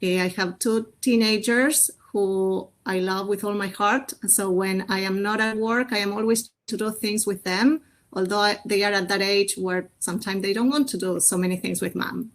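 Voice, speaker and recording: A woman's voice: medium pitch, fairly even intonation, moderate pace, hardly any pauses, fairly bright tone. A middle-aged woman from Argentina introducing herself conversationally as a panelist. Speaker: panelist, general manager.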